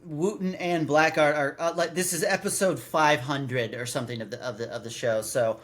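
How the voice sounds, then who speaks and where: A man's voice: a little high, steady tone, moderate pace, fairly bright tone. A man hosting a podcast in casual chat, rambling and often restarting his sentences mid-thought. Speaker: podcast host. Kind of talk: casual chat reviewing a radio drama.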